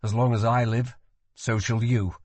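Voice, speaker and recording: A man plays a guarded, protective magician in an audiobook. His voice is husky and sits at a middle pitch, measured and earnest, with a firm calm. The sound is dry. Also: dry recording. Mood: earnest, steady.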